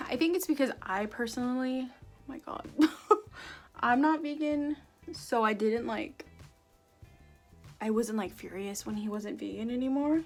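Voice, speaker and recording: A young woman with a raspy, sore-throated voice, chatting casually and joking at her own expense while she does her makeup. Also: a little high, a little slow, pauses often, faint music behind. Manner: self-deprecating, jokey, rambling. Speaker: beauty vlogger.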